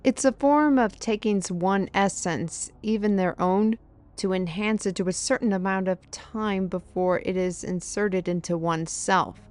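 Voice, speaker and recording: A woman's voice: medium pitch, unhurried, a little mellow, natural voice. Woman acting as an earnest, knowledgeable fantasy companion. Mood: instructive. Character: knowledgeable fantasy companion woman explaining banned books and magic. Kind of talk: fantasy audio roleplay acting.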